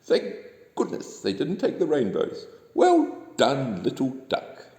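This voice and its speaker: A man acts out a kindly male moon spirit from a storybook, pitched up and lively. He speaks emphatically, exclaiming in an echoey room. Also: slow. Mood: relieved, praising. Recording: echoey room with long reverb.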